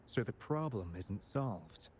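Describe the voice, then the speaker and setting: An actor playing a brisk, wary field agent in a video game. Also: male voice, lowish voice, expressive, darkish tone, slight background noise. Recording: clear background ambience under game dialogue.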